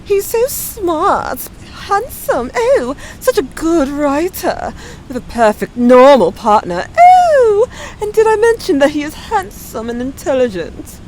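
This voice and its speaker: A woman's voice: high-pitched, very animated, slow pace, a little mellow, slight background noise, heavily acted, poor audio. A woman playing an envious, irritable Victorian woman writer in an audio drama, theatrical and sardonic. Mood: mock gushing.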